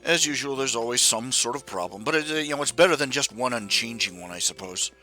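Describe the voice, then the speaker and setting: Bright-voiced grumbling man in his forties. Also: mid-range voice, lively intonation, quick pace, soft background music. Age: 40s. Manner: rambling, self-deprecating, grumbling. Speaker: live streamer. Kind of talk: casual live stream chat while fixing software.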